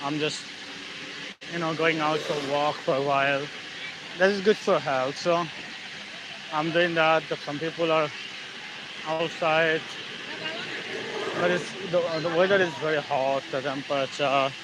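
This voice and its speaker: A man with a Bangladeshi English accent talking casually on a live stream. He speaks haltingly, with many fillers and restarts, while walking outdoors in the evening. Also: on the high side, steady tone, very noisy. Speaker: channel host, English learner.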